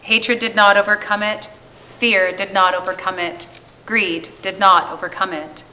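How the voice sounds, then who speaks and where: A woman's voice: medium pitch, fairly even intonation, moderate pace, bright, forceful tone, low-quality recording. A woman giving a scripted poetic reading at a church service. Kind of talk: scripted poetic reading, shared among readers.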